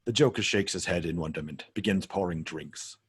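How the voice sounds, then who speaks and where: Deep, husky male narrator. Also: fairly quick, no echo. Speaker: narrator reading stage directions. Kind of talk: scripted narration at a table read.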